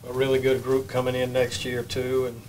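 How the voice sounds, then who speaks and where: Even-toned older coach at a mic. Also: male voice, mid-range voice, slight background noise, rough recording. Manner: measured, plain-spoken, many restarts. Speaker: head baseball coach. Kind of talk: postgame press conference. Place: press room. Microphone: table mic.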